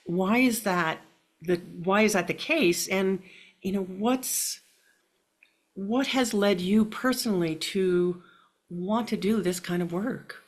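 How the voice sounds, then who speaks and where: A woman's voice: medium pitch, takes it slowly. A woman hosting an interview, asking reflective questions and affirming her guest often. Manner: encouraging, with many soft affirmations. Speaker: interview host.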